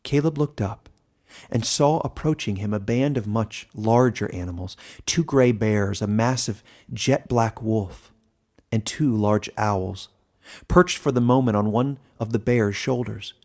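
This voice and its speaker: A man reading scripted fantasy narration as a storyteller, deliberate and expressive. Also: fairly low pitch.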